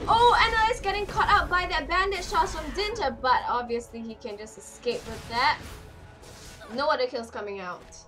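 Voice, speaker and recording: Hoarse woman casting a game, high voice. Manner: rapid play-by-play that jumps higher in fights. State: hoarse, losing her voice. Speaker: esports caster. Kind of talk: solo live game commentary. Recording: clear music behind the voice.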